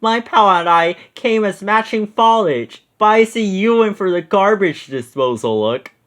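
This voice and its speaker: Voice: mid-range voice, expressive, measured pace, pitched above the performer's own voice, natural voice. A reader voicing a cowardly, goofy young male hippie slacker with cartoonish exclamations in a storybook read-aloud. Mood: playful. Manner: cartoonish exclamations, sprinkles speech with like.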